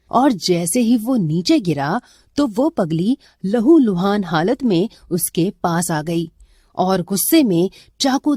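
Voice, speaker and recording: Slow, mellow woman storyteller. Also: fairly low pitch, husky, no room sound, studio quality. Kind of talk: scripted dramatic story narration.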